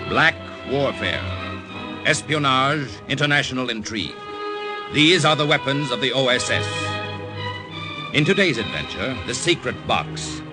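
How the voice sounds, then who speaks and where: A man announcing a 1950s radio drama in a measured, emphatic, scripted manner. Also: mid-range voice. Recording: old radio recording with loud music.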